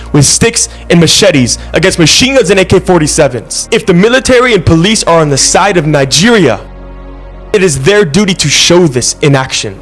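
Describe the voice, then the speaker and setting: A man recording an impassioned video statement, urgent and accusatory. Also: fairly high pitch.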